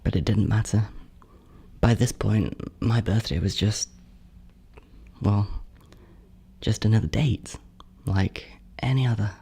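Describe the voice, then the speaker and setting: A man playing a bitter, neglected older sibling in a horror monologue, hushed and confessional, often slipping into whispers. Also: lowish voice, slow pace, creaky, long pauses, breathy edge, exaggerated voice. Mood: seething, jealous.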